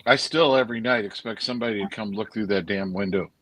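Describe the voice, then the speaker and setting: A retired man in his late fifties chatting easily on a whiskey livestream, telling stories in a dry, relaxed way. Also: lowish voice, quick pace, no echo. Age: 50s. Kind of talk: casual chat.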